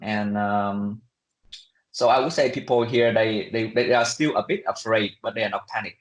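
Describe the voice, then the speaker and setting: A young man from Vietnam with a Vietnamese accent, answering interview questions casually and haltingly, with lots of filler words. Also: on the low side. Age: young adult. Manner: halting, conversational, many fillers and hedges. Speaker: interview guest, international student. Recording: echoey room sound.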